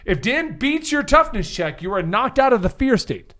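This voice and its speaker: A man's voice: extremely high pitch, very animated, moderate pace, fairly bright tone. A man narrating a tabletop game as game master in a casual, jokey way, often laughing.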